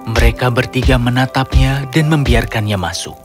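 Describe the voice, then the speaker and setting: A man narrates a children's story in a middle-pitched voice. His delivery is scripted storytelling, set over clear background music. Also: moderate pace.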